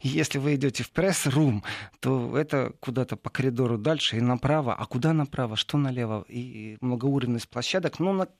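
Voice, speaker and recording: A man answering interview questions in a relaxed, digressive way, with many fillers and asides. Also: mid-range voice, brisk, gravelly, no room sound, clean studio sound. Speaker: guest describing parliamentary assembly.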